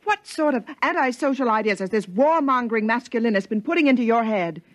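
An actress playing a bossy, prim young older sister in a 1950s radio drama, imperious and formal. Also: female voice, on the high side, lively intonation, a little fast, dry recording, natural voice. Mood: indignant. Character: bossy, prim twenty-year-old older sister, stern and haughty.

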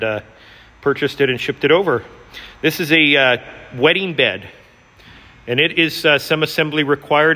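A man giving a casual, unscripted walkthrough as an auctioneer, with an enthusiastic salesman's pitch, in a large indoor warehouse. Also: pushed and bright. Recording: reverberant large hall.

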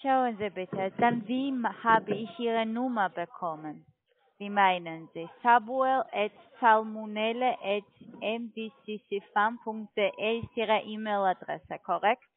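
A woman's voice: medium pitch, a little slow. A woman with a foreign accent, speaking non-native German as a direct, businesslike telemarketing caller. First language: not German. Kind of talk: sales phone call. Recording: tinny phone line.

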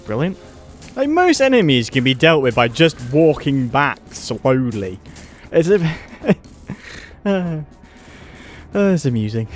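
Wry British man commenting on gameplay. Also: on the high side. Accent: British English. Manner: chatty, wry, laughing at the game. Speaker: let's play host.

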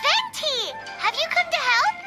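A woman voicing a tiny, excitable, childlike fairy companion in a video game, chirpy in manner. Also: extremely high pitch, measured pace, exaggerated voice. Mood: excited. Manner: chirpy, refers to herself in third person. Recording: game music behind the voice.

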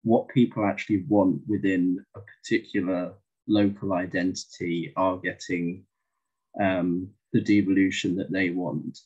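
A man's voice: low voice, a little mellow, husky. A man asking a question over a video call, hesitant and circling around his point with many restarts. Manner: hesitant, full of "sort of" and restarts. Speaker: audience questioner. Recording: dull lo-fi video call audio.

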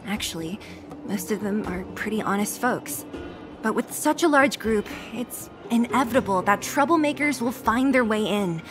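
A tough young woman voiced by an actress speaks with blunt, matter-of-fact confidence in game dialogue. Her voice sits a little high, and clear background music plays beneath it. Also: expressive, normal speed, natural voice. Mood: serious, explaining.

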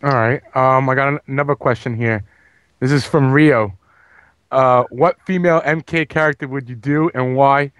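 A man's voice: mid-range voice, fairly even intonation, normal speed, fairly bright tone, smooth, dry recording. A man co-hosting an online show, reading out viewer questions with hesitant fillers.

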